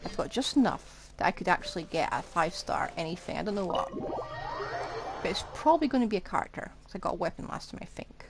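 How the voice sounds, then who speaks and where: A woman's voice: fairly low pitch, expressive, fast. A woman giving casual live gameplay commentary, chattily thinking aloud to herself. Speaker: game streamer. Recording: clearly noisy background.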